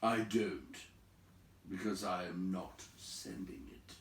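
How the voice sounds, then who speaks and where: A man voicing a brisk, bossy old wizard from a storybook, with an emphatic, scolding and teasing manner. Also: sits low, creaky, reverberant, far from the mic, heavily acted. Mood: brisk, exhorting.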